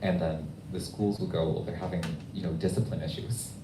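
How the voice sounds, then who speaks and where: A man speaking conversationally as an author at a question-and-answer event, thoughtful and halting with pauses. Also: sits low, fairly even intonation. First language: English. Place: bookstore event room. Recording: echoey room, distant mic, noisy.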